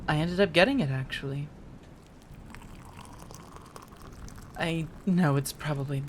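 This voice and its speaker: A woman playing a remorseful, tender vampire in an ASMR roleplay, speaking softly and haltingly, often slipping into a whisper. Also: low-pitched, slight background noise, lightly acted. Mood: gentle, sorry. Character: remorseful, tender female vampire rescuing her beloved.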